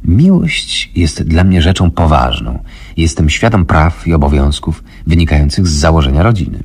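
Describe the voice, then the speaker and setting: A man's voice: rumbling low, sing-song, normal speed, a little mellow, slightly rough, exaggerated voice. A man playing a stuffy, moralizing male doctor in a radio comedy, with a pompous mentoring manner.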